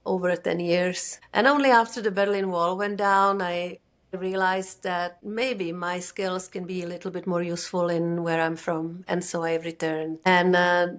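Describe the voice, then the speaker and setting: A middle-aged woman with a Czech accent, a native Czech speaker and executive coach, talking thoughtfully as an interview guest and sometimes pausing to find English words. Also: lowish voice, flat delivery. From Czech Republic. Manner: thoughtful, searching for words, careful phrasing. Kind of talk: reflective interview conversation.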